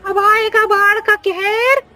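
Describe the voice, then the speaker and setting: Shrill, very high-pitched vengeful witch. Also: fairly even intonation, slow, bright, forceful tone, heavily acted. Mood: vengeful fury. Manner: theatrical, taunting delivery with cackles. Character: vengeful cackling witch ghost, gloating and menacing. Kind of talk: exaggerated Hindi cartoon horror voice acting.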